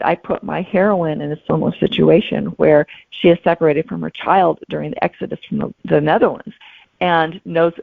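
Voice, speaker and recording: Female author guest, gravelly fry in the voice, reflective casual explanations, narrow telephone-like sound. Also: deep voice, no echo. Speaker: guest novelist. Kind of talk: casual interview talk. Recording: thin phone-like audio.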